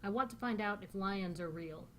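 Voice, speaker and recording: A Northern Californian woman reading aloud the lines of a calm, scheming man from a novel, in a dry, offhand way. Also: mid-range voice, moderate pace, a little hiss or hum, close to an everyday voice. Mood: wry.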